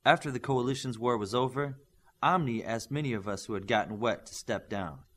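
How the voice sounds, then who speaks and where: A man narrating a superhero story as an audiobook, reading from a script with dramatic, deliberate phrasing. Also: medium pitch, a bit bright.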